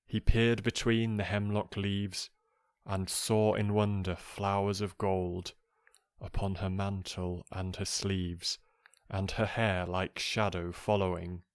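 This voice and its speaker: Man, very low smooth voice, calm poetry reading, unhurried, close dry sound. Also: dry recording. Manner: calm, measured, soothing delivery. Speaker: poetry reader. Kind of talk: slow poetry reading for relaxation.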